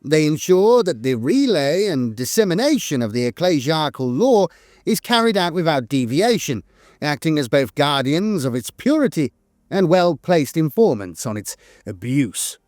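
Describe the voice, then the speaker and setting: A man's voice: a little high, big pitch swings, moderate pace, darkish tone, dry recording. Male lore narrator, very varied intonation, dry recording with no room sound, reads from a script with hesitations. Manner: hesitant reading, frequent uhs mid-phrase.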